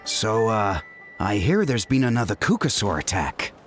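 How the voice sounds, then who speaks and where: A man's voice: lowish voice, big pitch swings, normal speed, over music. A man voicing a hesitant, doubting tribesman villager in a video game. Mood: uncertain.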